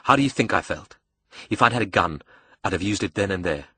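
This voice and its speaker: A man acts out a young male audiobook villain with a South African accent, bitter and cocky. His delivery is brash and sneering, with a forceful, husky edge and a cruel streak. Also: low voice, lively intonation, a little fast, no echo, exaggerated voice. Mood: seething. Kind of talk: audiobook character dialogue.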